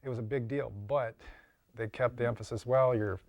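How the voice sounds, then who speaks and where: An older man explaining his craft in a casual interview, haltingly and often repeating words. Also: medium pitch, fairly even intonation, vocal fry, darkish tone, no echo, muffled recording. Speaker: interviewed prop and mask maker.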